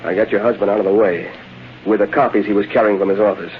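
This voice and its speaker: A man's voice: lowish voice, fairly even intonation, fast, lightly acted. Man acting a crooked official, tinny old radio. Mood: cold, threatening. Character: crooked menacing male highway official, cold and bullying. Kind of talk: 1930s-40s radio acting. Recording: tinny, noisy vintage radio recording.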